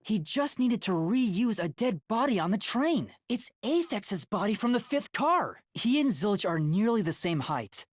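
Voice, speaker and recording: A man's voice: sits high, big pitch swings, measured pace, few pauses, dry recording, studio quality, heavily acted. A man voicing an earnest, anxious young male trainee detective in an English video game, hesitant and thinking aloud. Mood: earnest, deducing.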